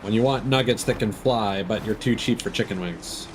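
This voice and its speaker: A man with a fairly low voice gives casual commentary while streaming a video game. He muses aloud and wanders into rambling asides. Clear vehicle-like game noise runs behind his voice. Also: normal speed. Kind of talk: casual live gameplay commentary. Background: video game sound.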